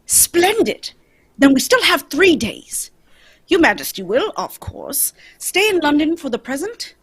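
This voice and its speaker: A woman playing a shrewd, courteous gentleman detective in a radio mystery, speaking formally and probingly. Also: sits high, sing-song, a little slow. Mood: brisk, assured. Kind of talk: old-time radio mystery acting, read live.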